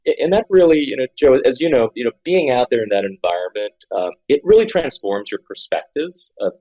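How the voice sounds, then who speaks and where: A man's voice: medium pitch, sing-song, a little fast, dark tone, rough recording. A man in his forties, a guest architect, talking earnestly in an interview and often repeating words with many fillers. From Honolulu, Hawaii. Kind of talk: conversational interview.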